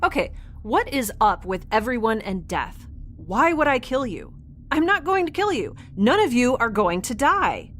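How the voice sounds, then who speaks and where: A woman's voice: on the high side, unhurried, slight background noise. An actress playing a quirky, stubborn young woman scientist in a spaceship audio drama, rambling and earnest. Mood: defiant. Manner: rambling, babbling, animated, earnest.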